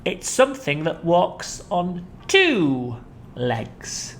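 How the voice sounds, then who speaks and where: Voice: mid-range voice, big pitch swings, slight background noise, full character voice. A reader doing a gloating enormous crocodile. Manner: slow, gloating, drawn-out delivery. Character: boastful greedy enormous male crocodile.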